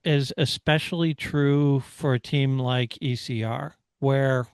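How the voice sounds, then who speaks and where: A man co-hosting a motorsport discussion, talking casually and haltingly as he analyses. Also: mid-range voice, fairly even intonation, smooth, dry recording, clean studio sound. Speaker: motorsport podcast host.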